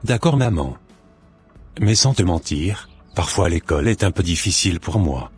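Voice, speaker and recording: A man's voice: sits low, sing-song, normal speed, breathy edge, faint music behind, full character voice. A man playing a polite, obedient schoolboy son in a cartoon, speaking in a formal, deferential way. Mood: polite, compliant. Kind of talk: scripted cartoon dialogue.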